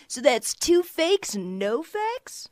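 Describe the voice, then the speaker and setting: A woman's voice: high voice, sing-song, drawn-out words, noisy background, exaggerated voice. A woman playing a playful radio co-host with breezy show patter in an audio drama. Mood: upbeat.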